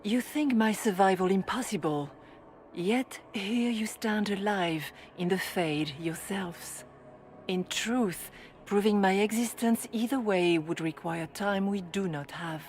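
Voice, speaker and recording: A solemn spirit in the form of a holy woman, voiced by an actress, speaks with formal, measured gravity. Her mid-pitched voice is calm and serene, set over faint game ambience. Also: slight background noise, natural voice. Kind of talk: video game voice acting.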